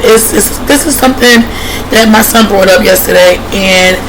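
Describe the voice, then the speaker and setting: A congested, hoarse woman talks straight to her viewers in a loose, rambling way, circling back with fillers and restarts. She coughs now and then. Clear road noise from a moving vehicle runs underneath. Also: mid-range voice. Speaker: vlogger. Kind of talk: casual chat to viewers.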